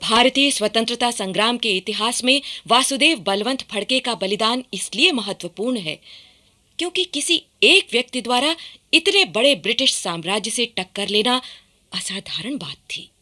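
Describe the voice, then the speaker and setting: A woman's voice: fairly high pitch, expressive, moderate pace, bright, forceful tone. A woman giving formal, dramatic scripted narration for a radio play.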